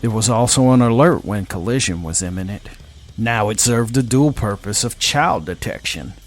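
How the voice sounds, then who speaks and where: A man's voice: on the low side, normal speed, soft background music. A man narrating a scripted science fiction story in a dramatic style.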